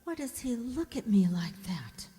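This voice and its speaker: An actress playing a frightened young woman thinking aloud, breathy and anxious, in a melodramatic radio play. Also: fairly high pitch, very animated, measured pace, warm tone. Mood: bewildered, hurt. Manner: breathy, anxious, dramatic, often whispering. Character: frightened mute young woman voicing her inner thoughts. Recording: echoey hall, long reverb.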